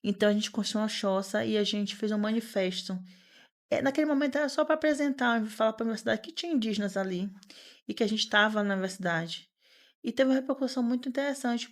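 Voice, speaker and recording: An earnest woman in her thirties, speaking in the middle of her pitch range. She talks in a lively, conversational way as an interview guest, circling back with repeated words and fillers as she builds her points. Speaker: podcast guest.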